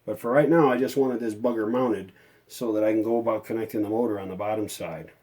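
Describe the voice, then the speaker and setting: A man's voice: on the low side, fast, a little mellow, slight background noise. A middle-aged man narrating hands-on workshop repair in a casual, folksy way, chatting and thinking aloud as he works. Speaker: hobbyist restorer presenting a repair.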